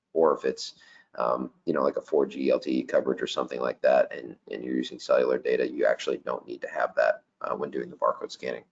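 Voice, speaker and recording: Very deep-voiced man presenting software. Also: creaky, breathy edge, dull audio. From not Danish. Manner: casual, many fillers like um and you know. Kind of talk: webinar product presentation. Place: office.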